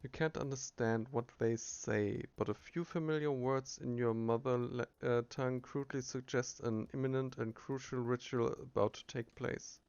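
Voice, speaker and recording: A man's voice: mid-range voice, fairly even intonation, normal speed, hardly any pauses, a little mellow, clean tone, no echo. A man reading a game's story text aloud as he plays, with frequent fillers and restarts. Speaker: game streamer. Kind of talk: reading game text aloud while playing. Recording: dull lo-fi recording.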